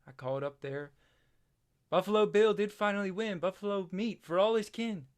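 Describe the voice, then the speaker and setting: A man playing a haunted, self-doubting farm boy in a stage play, halting and plain-spoken. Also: high-pitched, smooth, lightly acted. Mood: haunted, confessional.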